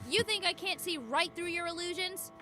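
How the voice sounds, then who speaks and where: A woman's voice: extremely high pitch, moderate pace, bright, forceful tone. A woman voicing a brash, taunting young female student mage in a game. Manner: mocking, defiant. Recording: game audio with faint music.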